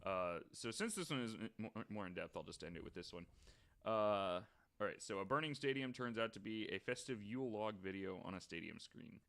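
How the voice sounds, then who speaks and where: A man's voice: lowish voice, a little fast, vocal fry, fairly bright tone. A man on a podcast panel, chatting casually and reading stories aloud.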